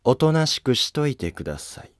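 A man voicing a dignified, gently firm empress with polite formal speech in a historical drama. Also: mid-range voice, very animated, fairly quick, no echo, voice pushed up, natural voice. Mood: gently scolding. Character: dignified empress consort, composed, gently scolding wife. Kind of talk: scripted historical drama dialogue.

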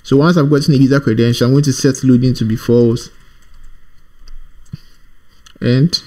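A man's voice: medium pitch, fairly even intonation, dark tone. Man thinking aloud through a coding tutorial. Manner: thinking aloud while typing, halting, repeats himself. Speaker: programming tutorial presenter. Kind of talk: informal screencast coding tutorial.

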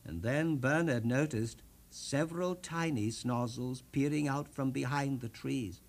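A man hosting as storyteller, reading a children's storybook expressively with theatrical, dramatic pauses. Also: mid-range voice. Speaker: storyteller, TV host.

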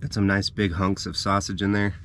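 A man in his thirties casually narrating a camp breakfast to the camera from inside a parked van, chatty and relaxed. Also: low-pitched, brisk, a little hiss or hum. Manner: relaxed, chatty, walks through each step. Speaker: travel vlogger. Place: inside a parked van, small enclosed space. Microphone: camera mounted in the vehicle.

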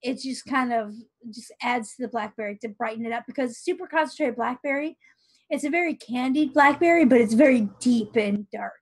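A woman's voice: on the high side, fairly quick. A woman panelist on a video call, presenting a recipe in casual, explanatory talk. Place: bedroom at home.

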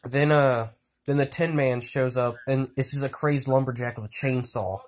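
A man telling an improvised story to friends in a casual, crude and excitable way, repeating himself. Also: medium pitch, moderate pace. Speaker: amateur storyteller. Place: indoors at home. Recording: noisy amateur handheld recording, clicks.

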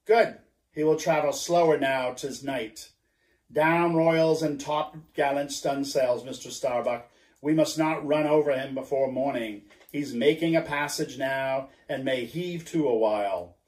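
A man's voice: mid-range voice, unhurried, a little mellow, lightly acted. A man voicing an obsessed old sea captain who speaks in grand, archaic declarations, in a roomy recording. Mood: commanding. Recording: roomy echo.

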